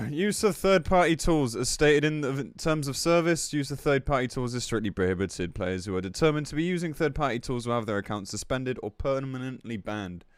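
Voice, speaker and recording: A man's voice: medium pitch, expressive, a little fast, few pauses, dry recording. A man live-streaming in a casual, blunt, exasperated way, commenting between bits of reading aloud and swearing freely.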